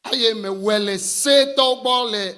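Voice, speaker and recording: Very high, bright male interpreter. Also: takes it slowly. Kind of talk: live consecutive interpreting at a crusade.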